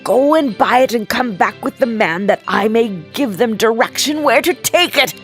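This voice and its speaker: Lady doing an old repentant miser from a storybook, high n swoopy, super emotional, music behind. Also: animated, normal speed, natural voice. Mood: excited, generous. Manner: exclamatory, emphatic pleading and rejoicing. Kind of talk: storybook character voice.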